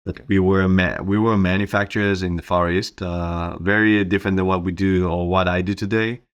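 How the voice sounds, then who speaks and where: A man's voice: sits low, little pitch movement, moderate pace, smooth, dull audio. A middle-aged man with an Israeli accent giving informal, conversational answers as an interview guest. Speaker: interview guest, company co-founder.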